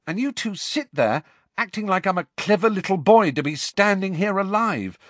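A man putting on a furious teenage boy who pushes his voice high and loud as he insists he is right. The lines swing up and down with heat and defensiveness. The recording is close and dry, with a slightly breathy edge. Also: huge pitch swings, unhurried, no echo, heavily acted. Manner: raised, heated, insistent. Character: angry teenage boy wizard, defensive and heated.

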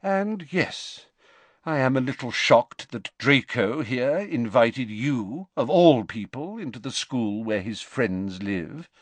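A man voicing a calm, courteous elderly male wizard who speaks quietly. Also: mid-range voice, takes it slowly, no room sound, pitched above the performer's own voice.

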